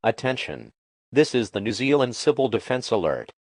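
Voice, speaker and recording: A man delivering a formal, official emergency broadcast in a deliberate, impersonal manner. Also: mid-range voice, big pitch swings, very noisy. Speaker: emergency alert announcer.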